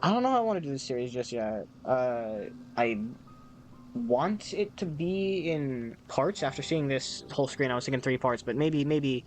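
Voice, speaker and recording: A man giving casual let's play commentary, excitable, swearing and stumbling over his words. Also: mid-range voice, normal speed, faint music behind, rough recording. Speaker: gamer.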